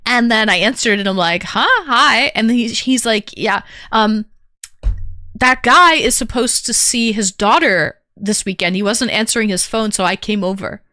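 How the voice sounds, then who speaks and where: A woman's voice: on the high side, moderate pace, pushed and bright, no echo, clean studio sound. A woman streamer telling a personal story to her chat, candid and rambling with lots of fillers. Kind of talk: casual chat to stream viewers.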